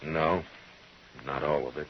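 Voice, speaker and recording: An actor playing a stern, weary, plain-spoken American frontier marshal in a 1950s radio western. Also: male voice, rumbling low, steady tone, measured pace, slight background noise. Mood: firm. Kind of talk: 1950s radio drama acting and narration. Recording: muffled 1950s radio recording, music behind.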